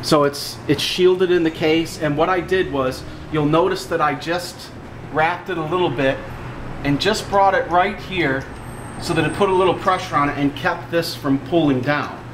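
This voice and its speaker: Guy with a slightly higher voice walking thru gear setup, casual n plain spoken, bit of background noise. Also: moderate pace. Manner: plain-spoken, explanatory, repeats instructions. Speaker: audio equipment installer giving a tutorial. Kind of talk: casual hands-on product walkthrough. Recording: clearly noisy room background.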